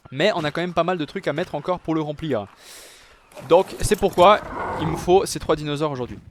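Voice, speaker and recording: A man's voice: on the high side, brisk, noisy background. A young man casually commenting on his game, chatty and addressing his viewers often. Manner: chatty, talks to viewers as "les gars", many fillers. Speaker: gaming youtuber. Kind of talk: casual let's-play commentary.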